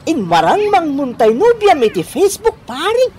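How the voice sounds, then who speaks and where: Woman playing a high-pitched, teasing male buddy. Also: huge pitch swings, moderate pace, very noisy. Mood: teasing, amused. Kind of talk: radio comedy drama acting.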